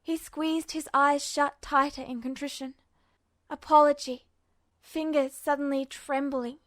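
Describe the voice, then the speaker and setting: A woman's voice: high voice, level tone, a little slow, dry recording. A woman reading fiction aloud in a dramatic audiobook narration style.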